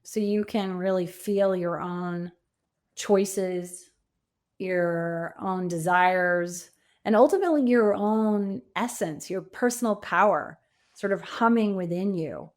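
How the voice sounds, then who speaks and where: A woman's voice: on the low side, takes it slowly, a little mellow. A middle-aged woman answering interview questions conversationally, in a reflective, earnest way with emphasis on key words. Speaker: podcast guest, coach and author.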